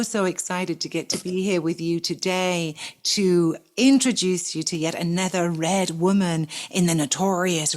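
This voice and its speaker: A woman hosting a podcast, effusive and affectionate, often laughing as she introduces her guest. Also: on the low side. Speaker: podcast host. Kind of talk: warm interview chat.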